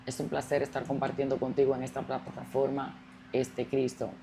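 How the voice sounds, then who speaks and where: A woman pastor hosts with an unusually deep, dark, mellow voice. She speaks in devotional phrases and affirms her guest often. A faint background hiss sits under her speech. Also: completely flat delivery, normal speed. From Colombia. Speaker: pastor and host.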